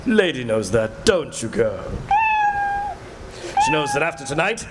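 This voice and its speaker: A man's voice: mid-range voice, huge pitch swings, measured pace. A man playing a deranged, menacing misanthropic scientist in theatrical old-time radio melodrama style. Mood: gloating, crooning. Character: deranged gloating misanthropic male villain scientist. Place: performed live in a cafe. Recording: live stage radio play, clear noise.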